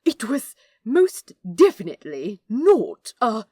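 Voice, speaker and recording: Fussy, easily scandalised male angel played by a woman, high, swooping delivery, prim and old-fashioned, for a storybook. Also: big pitch swings, takes it slowly, dark tone, dry recording, clean studio sound, exaggerated voice. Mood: flustered outrage.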